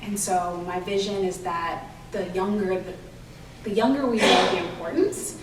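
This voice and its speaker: A woman's voice: medium pitch, measured pace, distant mic. A woman speaking as a children's book author in an interview, earnestly explaining and promoting her work. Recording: roomy echo, off-mic, faint noise.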